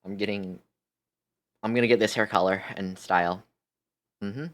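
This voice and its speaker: Low-voiced man chatting casually on stream. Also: steady tone, normal speed, fairly bright tone. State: tired. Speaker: livestream host. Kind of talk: casual livestream chat.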